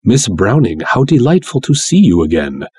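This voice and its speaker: A man voicing a small, formal, deferential Egyptian gentleman with flowery politeness in a pulp story reading. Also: lowish voice, sing-song, normal speed, slightly rough, dry recording. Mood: courtly. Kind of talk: dramatic reading of pulp fiction.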